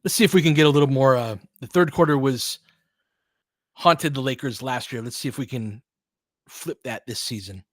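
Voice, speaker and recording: A man's voice: medium pitch, moderate pace, dry recording. Dry-sounding man calling basketball. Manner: clipped, fragmentary play calls. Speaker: host doing fan play-by-play of a basketball game. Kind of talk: live sports commentary mixed with casual chat.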